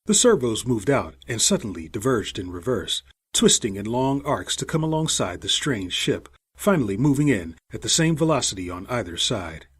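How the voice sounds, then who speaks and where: A man narrating a story as an audiobook, reading scripted prose in an expressive, suspenseful, dramatic way. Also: medium pitch, moderate pace, hardly any pauses, slightly rough.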